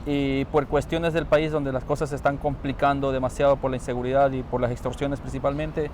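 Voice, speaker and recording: A man from Ecuador with an Ecuadorian accent, calmly explaining his experience in a street interview, often trailing off softly. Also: medium pitch, a little fast. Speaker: interviewee, newly arrived asylum seeker. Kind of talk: casual interview answers. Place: outdoors on a city street. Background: faint traffic noise.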